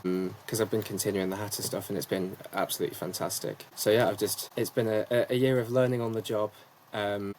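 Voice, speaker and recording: A man's voice: on the low side, slight background noise, rough recording. A young man, a sports commentator and journalist, answering interview questions at length in an articulate, talkative way with frequent ums. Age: young adult.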